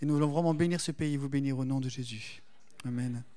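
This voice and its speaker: Quick, earnest man speaking in church. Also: medium pitch, mellow, a little hiss or hum. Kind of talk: church testimony and blessing.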